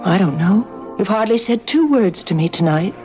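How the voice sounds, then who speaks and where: A woman's voice: mid-range voice, animated, moderate pace, natural voice. Actress as an earnest, anxious young woman. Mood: gentle, concerned. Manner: hesitant, pleading. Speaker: radio drama actor. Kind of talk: 1970s radio mystery acting. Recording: muffled old radio recording with music.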